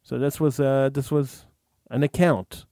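A man, the host, reading a true story aloud in an earnest, measured way, with an occasional stumble over words. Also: mid-range voice, fairly even intonation, mellow, no room sound, studio quality. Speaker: radio host.

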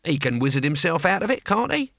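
This is a gruff, plain-spoken old barbarian with a gravelly fry in his voice, as a man puts him on. He talks in a rough drawl, and the sound is dry and close to the mic. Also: on the high side, big pitch swings, fast talker, fairly bright tone, no room sound, pitched above the performer's own voice, heavily acted. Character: old, blunt, gruff male barbarian hero.

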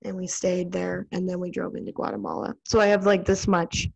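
Chatty American woman with a gravelly voice. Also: fairly low pitch, little pitch movement, a little fast, darkish tone, low-quality recording. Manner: chatty, filler-heavy, laughs often. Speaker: interviewer. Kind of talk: casual chat.

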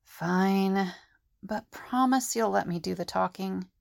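A woman's voice: mid-range voice, slow pace, vocal fry. A woman playing an earnest, level-headed team leader in an audio drama. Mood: firm.

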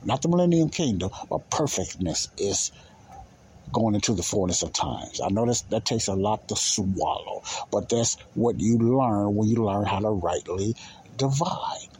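A man's voice: lowish voice, animated, measured pace, darkish tone, slight background noise, rough recording. A man teaching the Bible in an informal sermon, speaking emphatically, with repetition and rhetorical questions.

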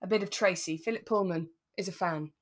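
A woman's voice: fairly low pitch, fairly quick. A British woman co-hosting a podcast, chatting casually and with a giggly, self-deprecating manner as she recaps a drama. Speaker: podcast co-host.

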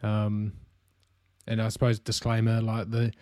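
Laid-back New Zealand man, mellow voice. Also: fairly low pitch, measured pace, no echo, clean studio sound. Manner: relaxed, many "um" fillers, easy laughter. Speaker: podcast co-host. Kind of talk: casual podcast chat.

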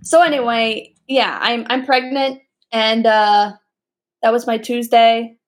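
A woman's voice: a little high, fairly even intonation, slow. A young woman co-hosting a casual podcast, chatting, laughing often and using many fillers. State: pregnant. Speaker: podcast co-host. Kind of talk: casual chat.

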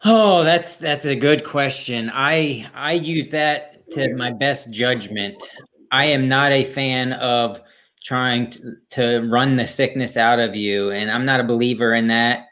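A man's voice: medium pitch, a little slow, fairly bright tone. Podcast co-host, man with an average voice, loose and self-mocking, chatty. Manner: easygoing, rambling, self-deprecating. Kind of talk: casual chat.

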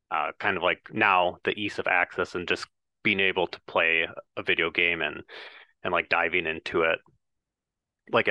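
A man's voice: deep voice, pushed and bright, dry recording, dull audio. A man hosting a podcast, asking long, rambling questions full of hedges and fillers. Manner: rambling, hedging, many fillers. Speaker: podcast host. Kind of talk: casual interview hosting.

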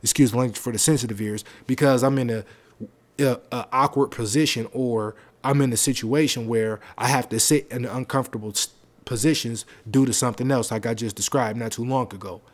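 A man with a naturally deep voice, hosting his own podcast in loose, slangy, rambling talk into a close microphone at home. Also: fairly even intonation, brisk. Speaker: podcast host. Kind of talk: casual solo podcast talk. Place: home setup, seated on a leather seat.

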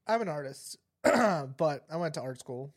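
A man's voice: a little high, animated, unhurried. A man co-hosting a casual podcast with dry, laid-back remarks. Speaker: podcast co-host. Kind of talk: casual chat.

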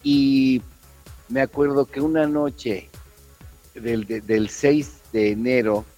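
A man's voice: medium pitch, fairly even intonation, takes it slowly, warm tone. Mature Mexican man chatting over faint music. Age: middle-aged or older. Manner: repeats words emphatically. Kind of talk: casual reminiscing chat.

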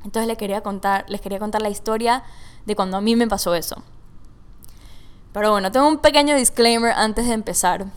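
A young woman hosts a relaxed personal podcast, talking through her thoughts in a mixed Venezuelan and Panamanian Spanish accent. Her voice is smooth and clear, pitched squarely in the middle. She talks in a chatty way, slipping English words into her sentences. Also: a little fast. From Venezuela, raised in Panama. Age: young adult. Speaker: podcast host. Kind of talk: casual personal podcast monologue.

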